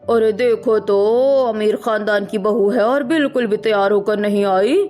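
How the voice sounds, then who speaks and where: A woman's voice: medium pitch, steady tone, normal speed, a little mellow, soft background music, pitched below the performer's own voice, lightly acted. A woman voicing a nosy, judgmental gossiping neighbour in a cartoon family drama. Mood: gossipy.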